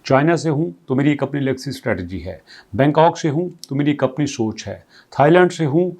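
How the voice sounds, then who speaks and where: Mellow-voiced man explaining crypto news. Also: mid-range voice, animated, a little fast. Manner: reads English passages aloud, then explains them conversationally; poses strings of rhetorical questions. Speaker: crypto news channel host. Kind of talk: explanatory commentary.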